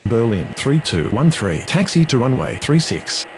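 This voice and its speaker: A man acts as an air traffic controller in a game. His formal, clipped instructions have lively intonation at a middle pitch. There is faint noise. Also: measured pace. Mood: businesslike. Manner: formal, procedural. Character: male air traffic controller, procedural and businesslike. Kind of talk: clipped scripted radio phraseology.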